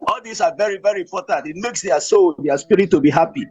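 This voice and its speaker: Husky Nigerian man giving a speech, muffled audio. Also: high-pitched, fast talker, darkish tone. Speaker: invited speaker, professor and motivational speaker. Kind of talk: formal conference presentation. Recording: dull, muffled audio with music.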